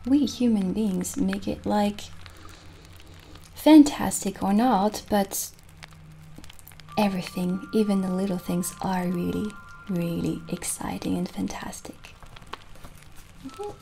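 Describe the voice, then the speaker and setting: A young woman playing a gentle, kindly witch shopkeeper in an ASMR roleplay, soft-spoken and soothing, slipping toward breathy near-whispers. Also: medium pitch, slow pace, long pauses, a little hiss or hum. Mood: calm, helpful. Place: small indoor candlelit set.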